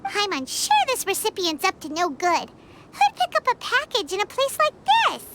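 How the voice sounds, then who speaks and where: Squeaky, very high fairy girl. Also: moderate pace, heavy background noise, full character voice. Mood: impatient. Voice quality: squeaky, childlike. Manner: excitable, refers to self in third person. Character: tiny excitable floating fairy girl companion. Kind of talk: video game voice acting. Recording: music behind the voice.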